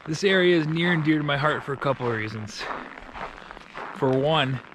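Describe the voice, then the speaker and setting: A young man from Minnesota with a loud voice, a real estate agent chatting casually to camera while walking his dog outdoors, rambling with restarts. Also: a little high, moderate pace. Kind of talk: walking vlog talking to camera. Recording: outdoor noise and passing vehicles.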